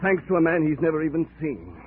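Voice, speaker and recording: An actor playing a fussy, fretful insurance manager in 1940s radio style, flustered and officious. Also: male voice, on the high side, big pitch swings, quick pace, a little hiss or hum. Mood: fretful, indignant. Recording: muffled, fuzzy old radio recording.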